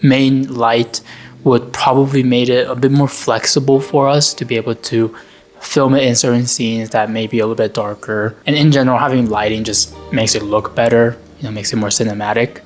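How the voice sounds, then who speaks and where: A man's voice: mid-range voice, fairly even intonation, normal speed, soft background music. A young man talks through what went right and wrong, in loose, casual vlog narration. His tone is candid and a little hard on himself, and his speech is full of easygoing fillers. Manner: candid, self-critical, lots of fillers like "you know". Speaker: vlogger and amateur filmmaker. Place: home.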